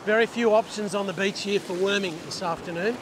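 A man's voice: high-pitched, brisk. A middle-aged man talking casually as he fishes and explains on an ocean beach, with a clip-on lapel mic. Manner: chatty, explains while working, restarts sentences. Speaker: fishing channel host. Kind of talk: casual instructional vlog. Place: open ocean beach outdoors, surf. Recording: loud surf and wind noise. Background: crashing waves and wind.

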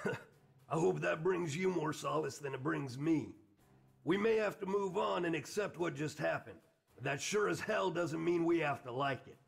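Space-game squadron commander played by a man: gruff, blunt, heavily gravelled and husky, slightly high-pitched. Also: exaggerated voice. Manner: blunt, emphatic.

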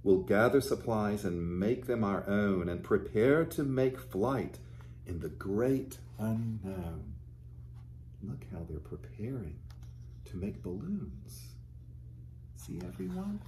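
A middle-aged man reads a children's picture book aloud in a soft, mellow tone. He takes his time, pausing to explain things simply and gently to young listeners. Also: lowish voice, animated, slight background noise, poor audio. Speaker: pastor. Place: small furnished living room, indoors.

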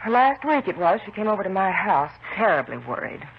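An actress playing a worried, consoling friend in an earnest 1950s radio drama style. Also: female voice, mid-range voice, sing-song, brisk. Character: worried, soothing woman friend of a troubled housewife. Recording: muffled, noisy 1950s radio recording.

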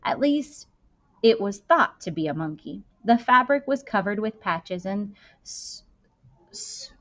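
A woman reads a children's novel aloud with expressive, deliberate phrasing. Her pitch sits in the middle for a woman. The recording is slightly dull and lo-fi, like a simple indoor setup. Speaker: school librarian reading aloud. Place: indoor room. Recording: dull, lo-fi webcam-style sound.

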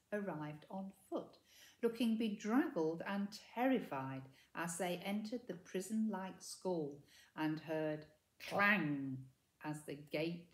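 Older woman reading a story, roomy echo. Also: mid-range voice. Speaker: storybook reader. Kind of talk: expressive children's story narration. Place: indoors, living room by a window. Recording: echoey room.

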